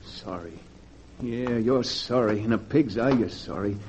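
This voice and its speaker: A man's voice: mid-range voice, a little slow, background noise, natural voice. An actor playing a desperate, broke male locksmith, strained and pleading, in a 1940s radio drama. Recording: dull vintage radio recording with music.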